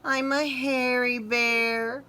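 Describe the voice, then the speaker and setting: A big, soft-hearted young grizzly bear from a picture book, played by a woman reader. The delivery is earnest and drawn-out, pitched up and bright. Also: flat delivery, a little hiss or hum, heavily acted. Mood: sad, sobbing. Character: big hairy young grizzly bear, friendly, clumsy, easily hurt.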